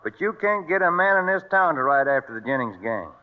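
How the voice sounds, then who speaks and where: A man's voice: on the high side, expressive, fairly quick, smooth, lightly acted. Man playing a cautious drawling frontier sheriff. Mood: worried. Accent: American Western drawl. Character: cautious, worried small-town frontier sheriff. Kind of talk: 1950s radio western acting. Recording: dull old radio recording.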